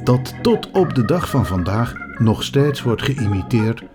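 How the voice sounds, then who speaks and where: Animated male documentary narrator over music. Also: medium pitch, measured pace. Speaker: podcast narrator.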